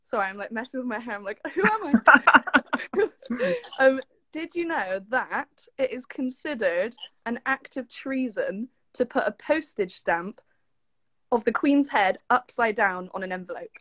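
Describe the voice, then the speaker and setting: A woman's voice: fairly high pitch, expressive, unhurried, dry recording. A young woman with an English accent chatting casually and informally as she shares fun facts, with a giggly manner. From southwest England. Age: young adult. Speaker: guest, former camp staffer.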